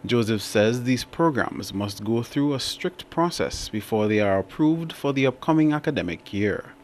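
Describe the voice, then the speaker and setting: Male reporter; scripted news voice-over, even and steady. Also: mid-range voice, moderate pace, a little hiss or hum. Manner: steady read-aloud delivery.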